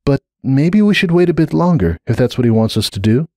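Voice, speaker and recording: A man voicing a gentle, sympathetic young female unicorn who sounds concerned and reasonable in a story reading. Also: medium pitch, animated, fairly quick, no room sound, studio quality, voice pushed up, close to an everyday voice. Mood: gentle, reasoning.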